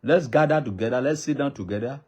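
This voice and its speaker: A man's voice: mid-range voice, fast, a little mellow, breathy edge. A Nigerian man with a Yoruba accent, a native Yoruba speaker, makes an impassioned public appeal in a pleading, repetitive cadence like a preacher. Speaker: traditional ruler addressing the public.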